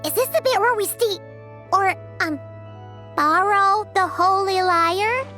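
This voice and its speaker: A woman voicing a small, cheeky, excitable childlike fairy companion in a video game, with a squeaky voice. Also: takes it slowly, soft background music, exaggerated voice. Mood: startled, unsure. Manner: refers to herself in third person. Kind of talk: game voice acting.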